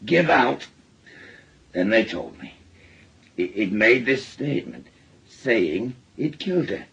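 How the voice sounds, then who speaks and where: An actor playing a middle-aged, weary, blunt working-class father with a Northern English accent, speaking haltingly and heavy-heartedly in a radio drama. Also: medium pitch, sing-song, vocal fry, a bit bright, slightly rough, highs cut off, full character voice. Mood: grief-stricken. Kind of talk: naturalistic British radio drama.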